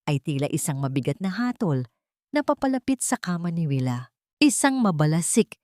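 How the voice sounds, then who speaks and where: A woman's voice: on the low side, very animated, normal speed, dark tone, dry recording, clean studio sound. A woman narrating a scripted story in a dramatic storytelling style.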